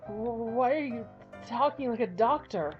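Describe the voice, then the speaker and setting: A woman's voice: fairly high pitch, lively intonation, a little slow, dull audio, faint music behind. A woman voicing a timid young man who stammers and hesitates. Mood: nervous. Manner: stuttering, hesitant.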